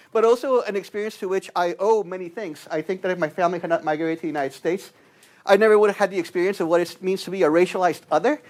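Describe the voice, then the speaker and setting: A man from Guatemala giving a university lecture as a guest anthropologist, talking conversationally and tagging his points with "right?". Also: sits high.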